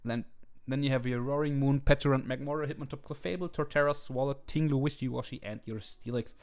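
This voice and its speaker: A man hosting a video, talking casually with many fillers and restarts, his voice slightly hoarse. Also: mid-range voice, fast talker, darkish tone. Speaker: video host ranking teams. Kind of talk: casual commentary. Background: faint background music.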